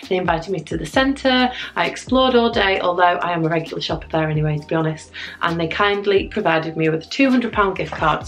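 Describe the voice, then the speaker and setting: Husky-voiced young woman, chatty vlogger. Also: lowish voice. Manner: upbeat, chatty, addresses viewers directly. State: husky from a cold. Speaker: fashion vlogger. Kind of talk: chatty product unboxing review. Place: home room with framed prints, indoors.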